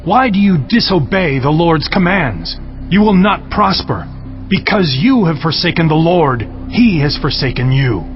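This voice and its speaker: Slow, impassioned biblical prophet. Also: male voice, on the high side, expressive. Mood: solemn rebuke. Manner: slow, declamatory. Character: righteous Hebrew prophet pronouncing solemn divine judgment. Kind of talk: dramatized scripture acting. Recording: dull audio with music behind. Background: background music.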